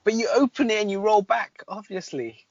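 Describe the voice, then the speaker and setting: A man playing through an adventure gamebook, reacting quickly with casual, jokey banter. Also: high voice, darkish tone, dry recording, lo-fi sound. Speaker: player in a gamebook playthrough.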